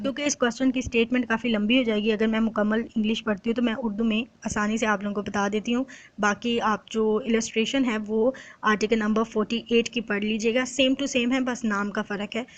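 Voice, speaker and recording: A woman giving an explanatory exam-prep lecture in a didactic, teacherly way. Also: a little high. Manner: didactic, poses questions then answers them, slowly reads out English quotations. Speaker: law lecturer.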